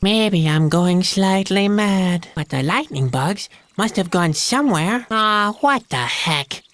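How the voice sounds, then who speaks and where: A man's voice: sits high, expressive, slow pace, a bit bright, full character voice. A man voicing a timid, gloomy young boy clown in an adventure game, musing in a hesitant, mournful way. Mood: puzzled. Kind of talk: scripted adventure game dialogue. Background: music.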